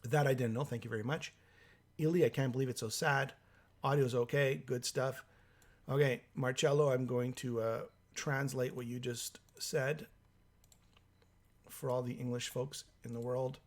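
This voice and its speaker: Hoarse man hosting a live stream. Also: medium pitch, fairly even intonation. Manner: halting, thinking aloud, many fillers. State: hoarse from a cold. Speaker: livestream host. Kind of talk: live stream reading viewer comments.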